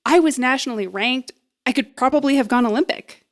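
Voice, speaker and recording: A woman's voice: high-pitched, fairly quick. A woman playing a nervous, eager young woman in an audio drama, rambling and hesitant as she bluffs her way along. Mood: boastful, bluffing. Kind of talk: naturalistic audio drama acting.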